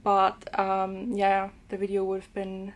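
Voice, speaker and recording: A woman's voice: medium pitch, monotone, slow pace. A young woman from German-speaking Switzerland, a native Swiss German speaker, chatting casually to camera about language apps with frequent ums and little laughs, in a small room. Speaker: language vlogger. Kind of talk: casual app review to camera. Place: small room indoors, plain blue wall.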